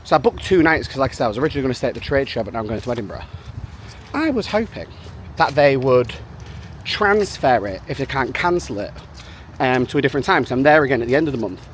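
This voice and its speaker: A man's voice: medium pitch, expressive, fast, a little hiss or hum. A man from Manchester, a business founder chatting casually to camera in a rambling way, full of "um" and "like". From Manchester, England. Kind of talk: casual vlog chat.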